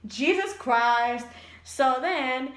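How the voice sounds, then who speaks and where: A young woman telling a storytime tale in a vlog, animated and giggly, acting out voices as she goes. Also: high voice, very slow, background noise. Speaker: vlogger. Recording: echoey room.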